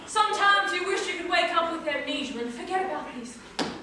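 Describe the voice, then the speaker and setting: A woman's voice: very high-pitched, animated, brisk. A woman playing a grandiose, earnest funeral priest in an amateur comic stage play, declaiming a mock sermon. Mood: solemn, grandiose. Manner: declamatory, solemn speechifying. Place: large hall, live stage performance. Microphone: far camera or room microphone. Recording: distant, echoey hall, very noisy.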